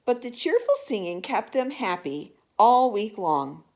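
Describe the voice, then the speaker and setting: Slow, animated woman reading to children. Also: fairly high pitch. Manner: expressive, asks listeners questions about pictures. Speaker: storyteller. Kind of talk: children's picture-book read-aloud.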